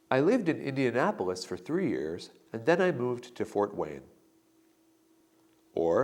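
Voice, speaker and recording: A man narrates a language lesson at a slow, careful pace. His pitch moves a lot, lifting on each model answer he reads out with clear emphasis. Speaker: instructor giving sample answers. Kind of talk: scripted instructional narration.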